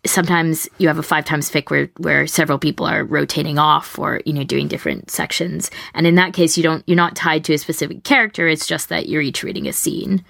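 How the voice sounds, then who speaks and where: A woman's voice: low voice, fairly even intonation, a little fast, gravelly, few pauses, fairly bright tone, dry recording, studio quality. A woman co-hosting a podcast, explaining things in a casual, conversational way. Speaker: podcast co-host.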